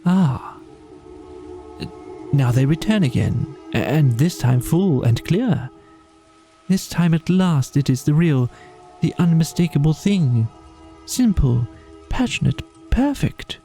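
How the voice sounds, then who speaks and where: A man's voice: on the high side, animated, slow, warm tone, soft background music, voice pushed up. A man voicing a dreamy, languid, poetic male water rat in a storybook, with a murmuring, rapt manner. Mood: rapt, urgent.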